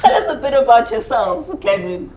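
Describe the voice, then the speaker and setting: A South African woman hosting a lively group conversation in a playful, teasing way, often laughing. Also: on the high side, moderate pace, crowd noise. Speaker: podcast host. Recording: roomy echo, people in background.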